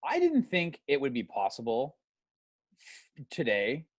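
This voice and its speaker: A man's voice: mid-range voice, huge pitch swings, unhurried. A man chatting as a podcast panelist, trading casual banter about movies.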